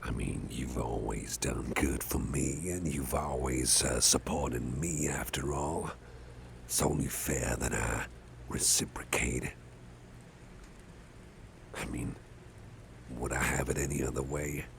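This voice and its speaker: Man acting very deep, gravelly, caring boss. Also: steady tone, takes it slowly, long pauses, a little hiss or hum, exaggerated voice. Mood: affectionate. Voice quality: deep gravelly murmur, often breathy. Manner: gentle, reassuring, rambling with soft hesitations. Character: big, gruff, caring male boss, protective and friendly. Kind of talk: ASMR personal-attention roleplay.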